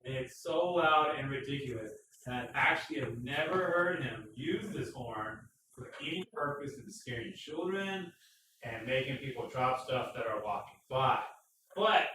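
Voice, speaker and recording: A man co-hosting a casual motorcycle live stream, joking and teasing with loud bursts. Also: mid-range voice, animated, normal speed, a little hiss or hum. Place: garage. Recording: echoey garage, far from mic.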